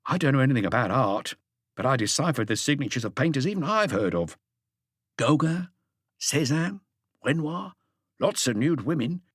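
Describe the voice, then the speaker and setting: A man's voice: mid-range voice, big pitch swings, normal speed, dry recording, clean studio sound. A man voicing an earnest, slightly awkward thirty-five-year-old police inspector reporting in careful detail in an audiobook. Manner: detailed, deferential reporting with asides.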